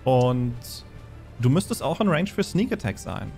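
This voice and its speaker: A man acting as game master, narrating a tabletop fantasy horror game in a deliberate, descriptive way. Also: medium pitch, big pitch swings, creaky, darkish tone. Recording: music under the voice. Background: background music.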